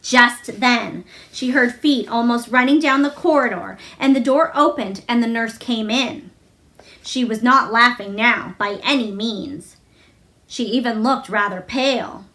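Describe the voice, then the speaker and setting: A woman narrates a children's story aloud. Her voice is high and bright. She reads scripted prose expressively, as a storybook reader. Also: a little slow.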